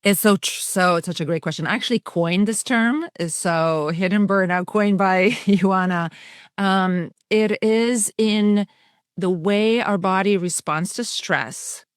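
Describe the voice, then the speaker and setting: A woman's voice: mid-range voice, unhurried, no echo, clean studio sound. A middle-aged woman from Romania, a psychiatrist and coach who explains things earnestly in long, flowing runs as an interview guest. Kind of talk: conversational podcast interview.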